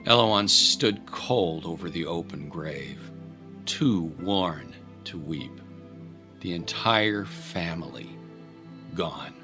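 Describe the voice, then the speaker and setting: Male narrator, grave scripted drama storytelling, lower register, over a music bed. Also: expressive, takes it slowly.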